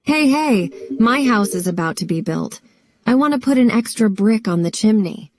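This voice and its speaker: A woman's voice: a little high, lively intonation, moderate pace, a little mellow, dry recording, close to an everyday voice. A woman voicing a proud, resourceful young pig in a children's story, eager and plain-spoken.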